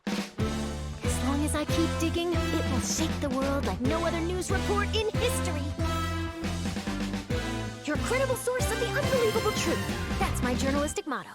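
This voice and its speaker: A plucky young female reporter from a video game. Her voice is extremely high and swings eagerly up and down, with perky, theatrical headline punch. Loud music plays under her. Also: animated, moderate pace, pauses often. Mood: determined, confident. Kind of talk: video game character trailer acting.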